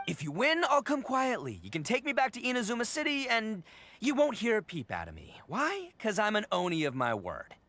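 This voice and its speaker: A brash young horned oni gang boss from a video game. His delivery is cocky and theatrical, swooping wildly in pitch, with a bright, forceful edge. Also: wildly swooping pitch, fairly quick, heavily acted. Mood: earnest. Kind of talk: video game character acting.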